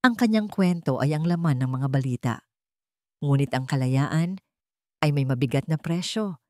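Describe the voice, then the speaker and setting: A woman's voice: low-pitched, animated, normal speed, mellow, no echo, clean studio sound. A woman with a Filipino accent narrating a dramatic audiobook story from a script.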